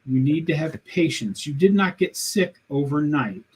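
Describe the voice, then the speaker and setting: A man's voice: a little high, expressive, normal speed, a little hiss or hum. A man of sixty in a casual interview, telling his story in an earnest, plain-spoken way. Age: 60s. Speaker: guest.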